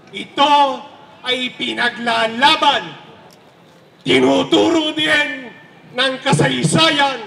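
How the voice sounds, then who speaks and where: A Filipino man giving a forceful, declamatory political speech at a protest rally, shouting. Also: extremely high pitch. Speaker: rally speaker. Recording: echoey, noisy loudspeaker at outdoor rally.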